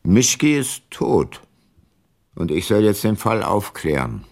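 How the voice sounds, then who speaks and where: Low-voiced, weary ageing detective. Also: male voice, sing-song, takes it slowly, no room sound, exaggerated voice. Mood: troubled. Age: older. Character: ageing, weary male private detective, former prosecutor. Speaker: detective, narrator. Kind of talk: radio play narration and dialogue.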